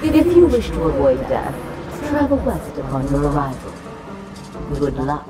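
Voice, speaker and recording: A regal, centuries-old nymph sentinel in a fantasy audio drama. Her low voice moves slowly and formally but swings widely in pitch, with an imperious bearing. Music plays behind her. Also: very animated, far from the mic. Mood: cool, amused.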